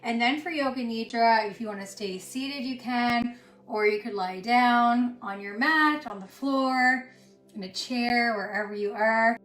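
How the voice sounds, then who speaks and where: Slow, soothing woman guiding yoga, roomy echo. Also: fairly high pitch, a bit bright. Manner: soothing, unhurried, pausing between short phrases. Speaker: yoga instructor. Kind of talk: guided yoga and meditation instruction. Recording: roomy echo with music underneath. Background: music.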